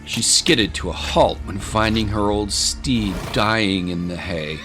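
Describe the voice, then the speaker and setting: A man with a fairly low voice narrates an audio drama. His reading is scripted, literary and dramatic. Music is clearly audible behind him. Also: slow pace.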